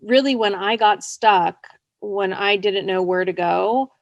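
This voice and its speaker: A woman's voice: medium pitch, a little slow, dry recording. A woman being interviewed as a writer, chatting casually and informally, with plenty of "like" and "you know". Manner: informal and rambling, often says "like" and "you know". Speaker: interviewed scriptwriter.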